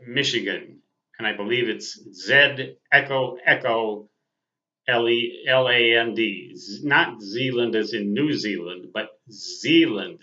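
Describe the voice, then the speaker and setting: Older american guy in his 60s reminiscing, real slow and deliberate, bright sharp voice, kinda muffled lo-fi audio. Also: medium pitch. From Minnesota, United States. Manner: deliberate, precise, spells out terms phonetically. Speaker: host, amateur radio operator. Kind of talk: casual reminiscing monologue to viewers. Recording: dull, lo-fi sound.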